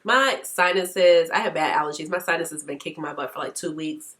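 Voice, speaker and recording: A woman's voice: sits low, brisk, a bit bright. A congested woman with a stuffy nose, chatting casually through a makeup tutorial and laughing often. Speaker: beauty vlogger.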